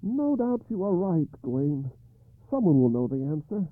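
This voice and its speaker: A man's voice: sits high, big pitch swings, moderate pace, dry recording, voice pushed up. A man voicing an anxious, proud medieval king who stammers and pleads. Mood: hopeful. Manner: pleading, rising to frantic. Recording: dry, phone-like narrow sound.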